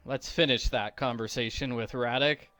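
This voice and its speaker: A man's voice: mid-range voice, steady tone, normal speed, pushed and bright, faint music behind. A man doing playful game walkthrough commentary with a goofy, mock-serious manner.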